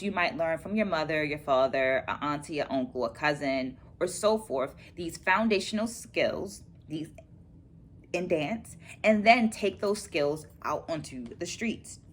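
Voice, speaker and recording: A middle-aged American woman hosting a book discussion vlog, talking from notes in a measured, explanatory way. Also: on the low side. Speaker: vlog host, dance educator.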